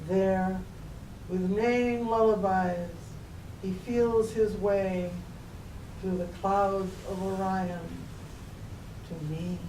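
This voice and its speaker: Slow-reading woman poet in an echoey room. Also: mid-range voice, fairly even intonation, dark tone. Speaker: poet reading own work. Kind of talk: live poetry reading. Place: public library reading room. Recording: echoey room, distant mic, faint noise.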